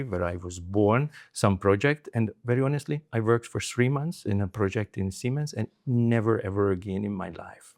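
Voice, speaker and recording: A middle-aged man with a Hungarian accent, speaking conversationally as an interview guest. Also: mid-range voice. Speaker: interview guest, former telecom executive.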